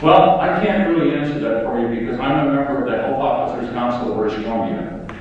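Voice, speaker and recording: Older male public health speaker, fervent and rhetorical, in a boomy, muffled hall. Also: quick pace, slight background noise. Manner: emphatic, rallying, rhetorical questions. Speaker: public health official giving a conference talk. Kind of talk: impassioned conference speech. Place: large lecture hall. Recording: echoey hall, distant mic, dull sound.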